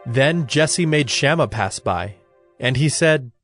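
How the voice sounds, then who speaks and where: Mid-pitched male Bible narrator. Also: lively intonation, fairly bright tone. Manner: formal, measured storytelling. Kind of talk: scripted Bible story narration. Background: music.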